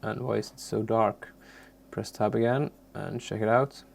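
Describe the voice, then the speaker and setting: Halting, very gravelly man teaching software. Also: lowish voice, fairly even intonation, a little hiss or hum. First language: not English. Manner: halting, searching for words. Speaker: tutorial presenter. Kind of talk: unscripted software tutorial.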